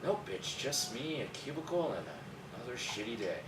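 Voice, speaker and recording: A man's voice: medium pitch, very animated, normal speed, lightly acted. A man playing a bitter, sarcastic office worker in a screenplay table read, with an exasperated manner. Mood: glum. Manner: sardonic, exasperated, swearing. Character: bitter, sarcastic male office worker jaded by daily routine. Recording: distant, echoey room with background noise.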